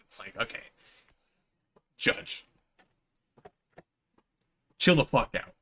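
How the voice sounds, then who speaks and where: A man's voice: extremely slow, sharp tone, a little hiss or hum. A man giving casual, slangy gaming livestream commentary, swearing and chatting to his viewers. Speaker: live streamer.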